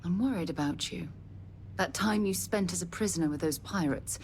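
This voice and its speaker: Woman playing a deep-voiced, composed space explorer. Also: moderate pace, a little mellow, slight background noise, natural voice. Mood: concerned. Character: composed, caring, no-nonsense female space explorer. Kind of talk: video game voice acting.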